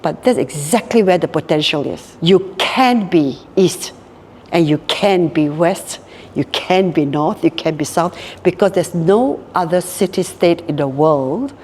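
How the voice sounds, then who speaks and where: A woman's voice: fairly low pitch, lively intonation, measured pace, a little mellow, in a large hall, slight background noise. A Singaporean woman in her later years, speaking reflectively in an interview and often repeating words as she thinks. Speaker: guest, hotel group co-founder. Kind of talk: casual interview talk.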